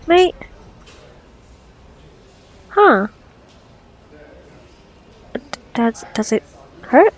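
A woman playing a flustered, curious doctor on a date in an ASMR roleplay, stammering nervously and haltingly. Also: high voice, poor audio. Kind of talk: ASMR roleplay audio drama.

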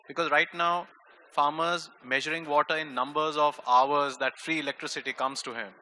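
A man's voice: on the high side, steady tone, moderate pace, bright, forceful tone, low-quality recording. A middle-aged man from Punjab, a farmer, speaking emphatically from the audience into a handheld microphone. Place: conference hall.

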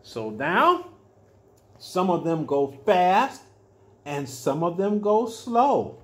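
An older man reading a children's picture book aloud as a storyteller, adding simple explanations with drawn-out emphatic words. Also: high-pitched, very animated. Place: small furnished room at home, indoors.

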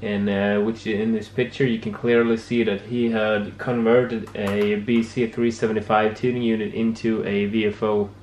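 A man giving a casual, unscripted hobby demonstration, haltingly searching for words, in a home workshop. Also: on the low side, flat delivery, a little mellow. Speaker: amateur radio hobbyist presenting homebrew project. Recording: clear background noise, room ambience.

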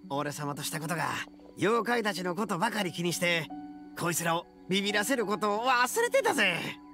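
A man's voice: high-pitched, big pitch swings, brisk, sharp tone, soft background music, exaggerated voice. A man voicing a brash, boastful young oni gang boss with a swaggering, theatrical delivery in a game. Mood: blustering.

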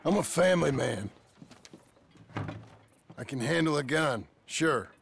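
An actor playing a weary, gruff cowboy family man with a rural Western American accent, in a video game drama. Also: on the high side, lively intonation, a little slow, soft background music. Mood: defensive, weary.

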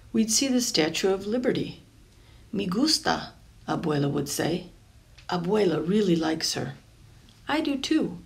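Creaky-voiced woman reading a picture book. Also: mid-range voice, a little mellow. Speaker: storybook reader.

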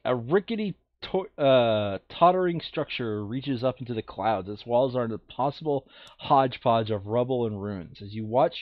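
A man's voice: medium pitch, dry recording, dull audio. A man running a board game playthrough in casual, crude, joking chat, now and then reading game text aloud. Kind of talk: casual gaming chat with bits of read-aloud flavour text.

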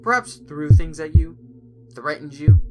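Young male investigator played by a man, bold, probing, theatrical, very lively pitch, loud backing music, fan cartoon drama. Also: measured pace, muffled recording. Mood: taunting, accusing. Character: bold, perceptive young male detective-minded employee. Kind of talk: animated fan audio drama acting.

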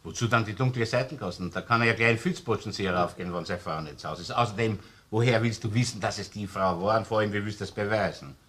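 A middle-aged man with a Viennese accent playing a shrewd, brisk senior police inspector in a radio crime drama, colloquial and gruffly businesslike. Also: lowish voice, a bit bright, close to an everyday voice. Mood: skeptical. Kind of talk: German-language radio crime drama acting.

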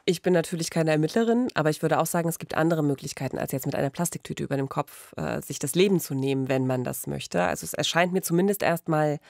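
Young woman, vocal fry, very dry studio sound, podcast co-host, questioning and commenting. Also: sits low, fast talker, few pauses, darkish tone, dry recording. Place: small radio studio. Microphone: close studio boom mic with pop filter.

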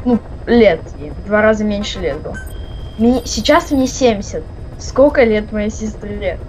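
A woman's voice: mid-range voice, a little slow, a little mellow, a little hiss or hum, low-quality recording. A woman chatting casually during a game, teasing and insistent, repeating herself. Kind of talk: casual chat while gaming.

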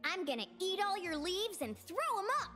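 A woman voicing a small, excitable magical cat in a video game, childlike, eager and mock-fierce. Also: very high voice, brisk. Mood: cheeky excited. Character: small cute magical talking cat, playful, excitable, feisty. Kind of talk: video game character acting. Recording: game clip with music behind.

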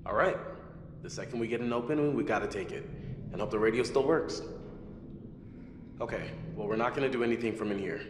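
A man's voice: mid-range voice, brisk, pauses often, natural voice. An actor playing a cautious, practical young man who plans an escape in a video game. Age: young adult. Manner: calm, practical. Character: cautious steady young man on a ghost ship. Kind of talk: English game cutscene dialogue. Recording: reverberant hold with ambient noise.

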